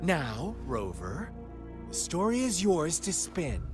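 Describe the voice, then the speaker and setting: A man playing a sly, eloquent storyteller in a video game, theatrical and condescendingly playful, savoring his pauses over background music. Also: fairly high pitch, wildly swooping pitch, full character voice. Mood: musing, theatrical. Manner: theatrical, savoring pauses, teasing rhetorical questions. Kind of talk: dramatic video game dialogue and fable narration.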